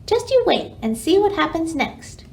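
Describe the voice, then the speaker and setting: A woman voicing a brisk, eager woodpecker guide in a children's storybook, confident and inviting. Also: very high-pitched, big pitch swings, gravelly, voice pushed up, exaggerated voice. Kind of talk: children's storybook read-aloud.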